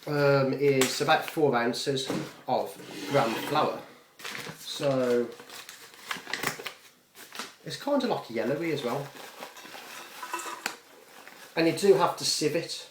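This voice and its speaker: A lower-voiced British man talks his way casually through a recipe, wandering into self-deprecating asides. He sounds like a home cook filming himself in a reverberant kitchen, with clear noise of cooking around him. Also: slow, long pauses. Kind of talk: casual, unscripted cooking demonstration. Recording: echoey kitchen with frying, clattering noise.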